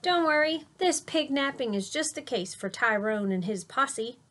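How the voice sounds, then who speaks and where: Storybook boy detective, female reader: bold, eager, dramatic, pitched up. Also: lively intonation, moderate pace, fairly bright tone. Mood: rallying, determined. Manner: dramatic, emphatic. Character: Tyrone, bold eager schoolboy detective, leader of his posse. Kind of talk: children's picture-book read-aloud.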